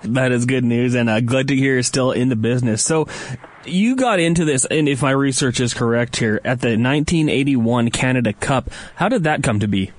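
A man's voice: mid-range voice, moderate pace. A young man hosting a podcast, asking friendly, curious questions in casual chat. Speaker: podcast host.

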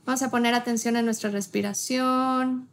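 High-pitched woman guiding a meditation. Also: steady tone. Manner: gentle, instructive, short phrases with pauses. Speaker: guided meditation leader. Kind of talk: guided meditation.

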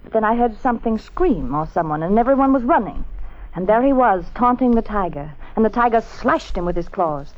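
Actress as a high, plaintive young-sounding woman. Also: animated, a little fast, natural voice. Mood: aggrieved, plaintive. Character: aggrieved, self-justifying loose woman giving testimony. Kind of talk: classic radio drama acting. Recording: muffled old radio recording.

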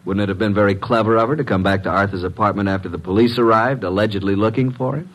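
Brief: private eye's legman, played by a man, fairly low voice, breezy, wry, old radio mystery. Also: quick pace, natural voice, rough recording. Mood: speculating. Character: breezy, wisecracking male private detective's assistant. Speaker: actor playing a detective's assistant. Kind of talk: classic American radio drama acting.

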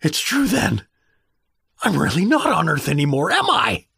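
A man's voice: a little high, big pitch swings, moderate pace, gravelly, bright, forceful tone, slightly rough, dry recording, clean studio sound, heavily acted. A man playing a bewildered, awkward middle-aged average dad in a comedic audio drama, hesitant and rambling. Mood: dazed, rattled. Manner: hesitant, rambling, easily flustered.

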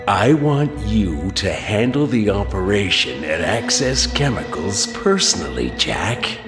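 An actor playing a scheming, commanding crime boss in an audio drama, slow and menacing, with music underneath. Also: male voice, fairly low pitch, sing-song. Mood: urgent, commanding.

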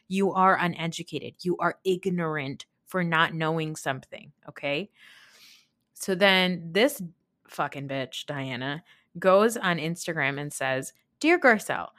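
Sweary, low-pitched woman recapping reality TV. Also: sharp tone. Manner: sweary, blunt, opinionated; emphatic, mimics others when quoting. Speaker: podcast host. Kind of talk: casual reality TV recap commentary.

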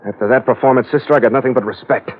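A man's voice: mid-range voice, rapid-fire, lightly acted. An American man playing a tough, wry sea captain who narrates his own adventure in a clipped, world-weary old radio drama style. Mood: wry, skeptical. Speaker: lead actor and first-person narrator. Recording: muffled vintage radio recording, musical underscore.

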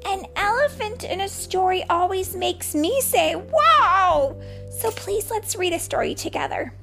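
Woman voicing an eager, high-pitched little chicken child. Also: expressive, unhurried, gravelly, bright, forceful tone, faint music behind, exaggerated voice. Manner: exclaiming, wheedling, childlike. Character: eager, cheeky young chicken child, playfully insistent. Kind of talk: picture-book read-aloud character voice.

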